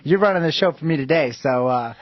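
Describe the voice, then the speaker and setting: A man working as an interviewer, with a husky voice and an informal, affirming way of asking wordy questions. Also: fairly high pitch, fast, a crowd in the background. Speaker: interviewer, host. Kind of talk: casual on-camera interview. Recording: roomy, dull lo-fi audio.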